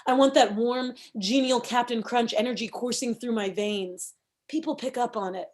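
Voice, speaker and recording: A woman's voice: a little high, normal speed, a little mellow, natural voice. A woman playing a zealous, quirky, scheming campaign manager in a comedy script read. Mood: intense, matter-of-fact.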